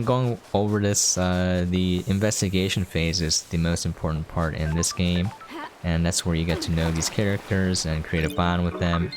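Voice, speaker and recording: A man's voice: deep voice, background noise. A man giving casual, conversational commentary while playing a game, in an informal way. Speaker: game reviewer and player, host.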